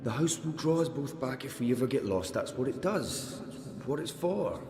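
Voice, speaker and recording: An actor playing a tender, earnest, protective young father in a video game cutscene, with a gentle, reassuring manner. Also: medium pitch, big pitch swings, moderate pace, reverberant. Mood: reassuring, subdued.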